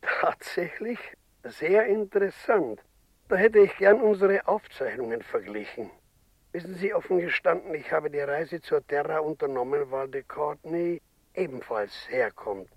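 Genteel doctor, higher male pitch, polite, thoughtful, dry studio radio play. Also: lively intonation, measured pace, creaky, no echo, full character voice. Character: genteel, earnest visiting doctor and telepath. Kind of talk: German radio play acting.

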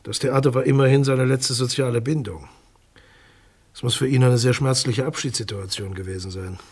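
A man's voice: medium pitch, normal speed, darkish tone, husky. An actor playing a guarded, dismissive male public prosecutor in a German radio crime drama, formal and condescending in his speech. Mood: officious.

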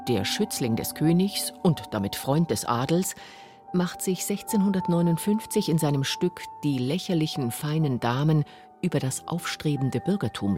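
A woman narrating a scripted radio documentary. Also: low voice.

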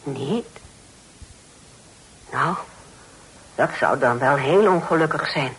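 An actress playing a pious, stern but charitable older aunt in a radio play, speaking in a preachy, solemn manner. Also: female voice, sits low, expressive, slow pace, slightly rough, exaggerated voice, rough recording. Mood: kindly insistent. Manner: preachy, scripture-quoting, solemn exclamations. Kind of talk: Dutch radio play acting. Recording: old radio play recording.

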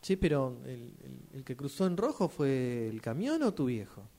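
Un hombre con acento argentino que interpreta en un radioteatro a un amigo preocupado, informal y algo titubeante. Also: male voice, mid-range voice, big pitch swings, gravelly, dark tone. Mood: questioning. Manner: informal, halting. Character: concerned male friend offering condolences and asking questions. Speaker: radio drama workshop participant. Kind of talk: rehearsed radio drama acting.

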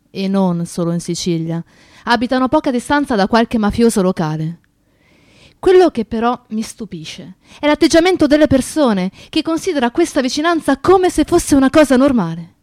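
An actress playing a reflective, grieving woman who narrates memories of a friend in a radio play, in a measured storytelling manner. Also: a little high, animated, darkish tone, no echo, clean studio sound, close to an everyday voice. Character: reflective, grieving woman recalling her activist friend and his town.

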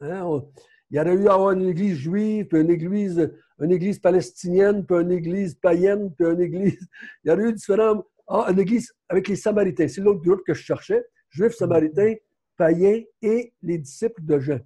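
A man's voice: high voice, normal speed, warm tone. A man with a Québécois accent teaching a Bible study in a conversational way, often stopping to correct himself. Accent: Québécois French. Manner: conversational, frequent self-corrections.

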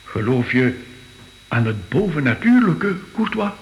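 An actor playing an excitable, superstitious art expert in a radio drama, speaking emotionally and full of wonder. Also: male voice, medium pitch, measured pace. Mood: curious. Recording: hissy, noisy old radio play recording.

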